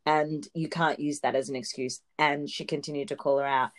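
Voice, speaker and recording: A woman with a very low voice co-hosts a casual podcast. She is chatty, laughs often and explains her points at length. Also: flat delivery, normal speed. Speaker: podcast co-host. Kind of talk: casual chat recapping reality TV.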